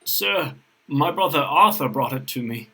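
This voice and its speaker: A woman's voice: fairly high pitch, very animated, takes it slowly, pushed and bright, breathy edge, heavily acted. A woman voicing a scheming young knight with a formal, self-important manner. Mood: frightened.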